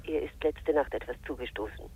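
A man's voice: medium pitch, fairly quick. Formal policeman on a noisy phone. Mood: grave, official. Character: male police officer delivering bad news, sombre and formal. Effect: telephone voice. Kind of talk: radio drama acting. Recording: faintly noisy telephone line.

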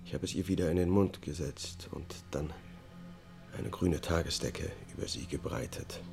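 An actor playing a haunted, detached murder defendant confessing in a radio drama. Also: male voice, low voice, moderate pace, creaky, a little mellow, faint music behind. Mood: subdued, haunted. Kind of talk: German public radio drama acting, confessional testimony.

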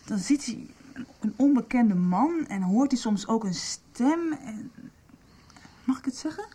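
An actress playing a candid, supportive young girlfriend in a radio soap, explaining things in a halting, confiding way. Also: on the high side, expressive, dark tone, breathy edge. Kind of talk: Dutch radio soap acting.

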